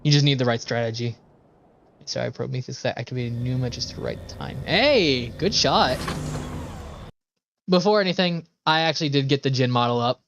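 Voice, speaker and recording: A man's voice: mid-range voice, normal speed, a bit bright. A man giving casual live game commentary as a streamer, rambling and sweary with enthusiastic asides. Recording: slightly dull, dry microphone.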